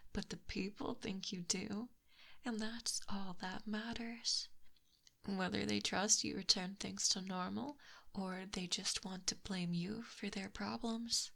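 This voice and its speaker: Lamia captor played by a woman: breathy, softly menacing, teasing; slow close-mic ASMR delivery with some vocal fry. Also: mid-range voice, exaggerated voice. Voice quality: breathy, whispery. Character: possessive, smugly teasing female lamia snake monster captor. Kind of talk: intimate ASMR roleplay. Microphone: close binaural microphone.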